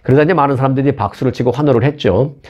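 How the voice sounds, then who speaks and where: A man's voice: mid-range voice, racing, darkish tone, muffled recording. A man from Seoul hosting a news commentary show in a chatty, conversational way, with asides and rhetorical questions. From Seoul, South Korea.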